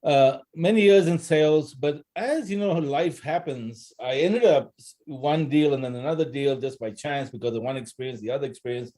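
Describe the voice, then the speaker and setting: A man with a lisp giving an informal guest talk on an online call in a conversational, halting way with rhetorical questions. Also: fairly high pitch, dark tone. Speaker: guest speaker, supply-chain salesman. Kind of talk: informal presentation on a live call.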